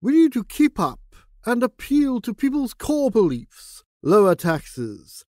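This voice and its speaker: A man's voice: very high voice, big pitch swings, slow, darkish tone, no room sound, studio quality, heavily acted. An actor playing a flustered male politician who gives pompous, evasive answers and stumbles over his words. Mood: blustering.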